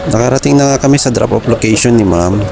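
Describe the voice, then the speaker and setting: A man's voice: mid-range voice, fast talker, vocal fry, loud music behind the voice. A Filipino man giving casual voice-over narration for his motorcycle taxi vlog. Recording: loud vehicle and street noise.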